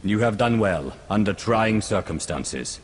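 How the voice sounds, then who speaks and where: An actor playing a composed, solemn, commanding lord of an evil galactic empire in a video game cutscene. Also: male voice, fairly low pitch, animated, unhurried, breathy edge. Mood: solemn resolve.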